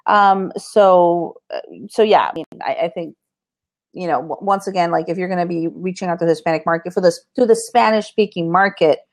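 A woman raised in Costa Rica, a marketing consultant chatting as a guest on a livestream show, bubbly and quick to laugh. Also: fairly low pitch, a little mellow. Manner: bubbly, laughs often, bilingual. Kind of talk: casual livestream chat.

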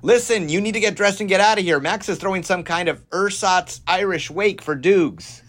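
A man's voice: high-pitched, moderate pace, fairly bright tone. A man playing a blunt, exasperated friend of a 1920s sportswriter in an audio drama.

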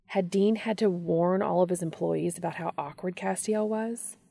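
A woman hosting and narrating fan fiction in a scripted, expressive storytelling read. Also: on the low side, moderate pace, creaky, breathy edge, no room sound, clean studio sound. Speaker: podcast host and reader.

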